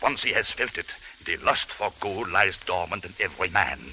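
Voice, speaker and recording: An actor in an old radio drama playing a sly gentleman who muses in a formal, insinuating manner. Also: sits low. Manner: formal, insinuating, drawn-out musing. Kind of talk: 1940s-50s radio drama acting. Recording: hissy old radio recording.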